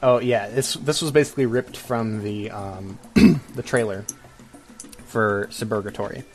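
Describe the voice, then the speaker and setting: Slow, halting male podcast host with sarcasm. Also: fairly low pitch, over loud music. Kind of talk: casual podcast rant.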